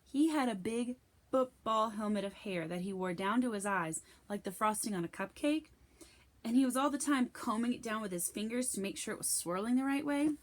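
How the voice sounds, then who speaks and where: A woman's voice: a little high, animated, moderate pace. Woman reading a children's novel aloud. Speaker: storybook reader. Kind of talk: expressive scripted narration of a children's novel.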